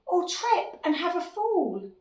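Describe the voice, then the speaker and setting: A woman's voice: very high-pitched, very animated, slow pace, darkish tone, pitched above the performer's own voice, heavily acted. A woman voicing a small, anxious young male dinosaur in a storybook, fretful and exclaiming. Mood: worried. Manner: worried, exclaiming. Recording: echoey room, distant microphone.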